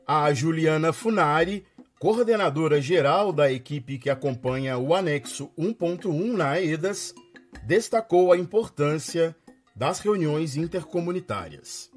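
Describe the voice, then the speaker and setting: A man's voice: fairly high pitch, lively intonation, measured pace, faint music behind. A Brazilian man presenting a news programme in scripted narration.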